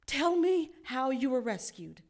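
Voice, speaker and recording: A woman's voice: sits high, sing-song, a little slow, exaggerated voice. An actress playing a stern, disbelieving mother of a grown son in a live radio drama, with an imperious, declamatory delivery. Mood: indignant, commanding. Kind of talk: live stage radio drama, theatrical dialogue. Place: stage before a studio audience.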